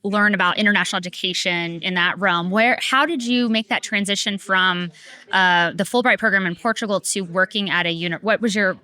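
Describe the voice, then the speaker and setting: A woman's voice: mid-range voice, steady tone, a little fast, pushed and bright. A woman interviewing a guest in an upbeat, chatty way with many fillers. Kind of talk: conversational interview.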